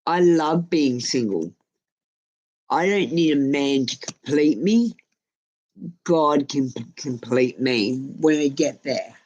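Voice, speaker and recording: Female live-stream tarot reader, unhurried and soft-toned, informal and plain-spoken in her advice. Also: sits low, highs cut off. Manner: blunt, informal, sweary. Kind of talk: casual live tarot reading, giving advice.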